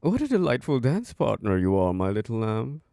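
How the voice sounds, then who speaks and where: A man voicing an ancient, charming and possessive male vampire lord who hosts a masquerade, speaking in a slow, seductive, close-mic ASMR roleplay with soft chuckles. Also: wildly swooping pitch, no echo. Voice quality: low gravelly voice. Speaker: castle host.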